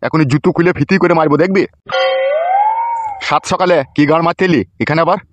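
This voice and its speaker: Man playing an irritable, fast-talking cartoon dad. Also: fairly high pitch, fairly even intonation, dry recording, dull audio, close to an everyday voice. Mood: irritated. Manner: coarse, scolding, exasperated. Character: irritable, foul-mouthed village father, middle-aged and suspicious. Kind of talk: comedy cartoon dialogue.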